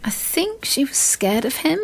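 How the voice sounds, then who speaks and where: A woman playing a candid, reflective witness in a radio drama, husky and breathy, recounting things with pointed asides. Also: high voice, very animated, unhurried, exaggerated voice, rough recording. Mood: musing. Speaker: actor in an audio drama. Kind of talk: monologue, testimony-like radio acting.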